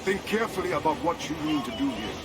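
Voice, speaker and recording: A loyal, wary pirate quartermaster from a video game. The voice is high, measured and earnest, with very loud music underneath. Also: male voice, big pitch swings. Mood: urgent, earnest. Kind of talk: video game cutscene acting.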